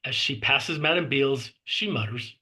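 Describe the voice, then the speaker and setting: A man's voice: medium pitch, a little slow, pushed and bright. A man reading stage directions as narrator at a script table read.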